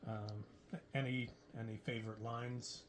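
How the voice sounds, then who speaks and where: A man who created an audio drama, talking casually with his cast over a video call, halting with fillers and restarts. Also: mid-range voice, flat delivery, noisy background. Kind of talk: casual group interview.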